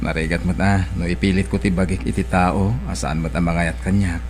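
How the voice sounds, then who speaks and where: A man's voice: low voice, little pitch movement, fairly quick, darkish tone, soft background music. A man with an Ilocano accent acting a brash, stubborn village farmer in a radio-style drama. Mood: subdued.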